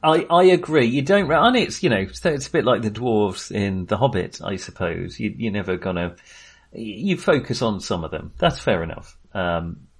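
A man's voice: fairly low pitch, lively intonation, moderate pace, breathy edge. A man co-hosting a podcast, discussing film casually in a rambling, hesitant way, often chuckling. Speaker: podcast co-host.

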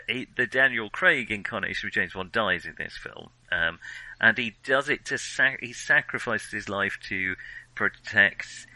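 Low-pitched, bright-voiced man chatting. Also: dry recording, low-quality recording. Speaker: film discussion podcast co-host. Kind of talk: casual chat.

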